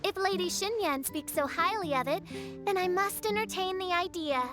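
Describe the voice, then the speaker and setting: Haughty high-voiced young princess. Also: female voice, normal speed, over music. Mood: gracious, grand. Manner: imperious, pompous, formal. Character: haughty theatrical young princess with grandiose archaic speech. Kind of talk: video game cutscene acting.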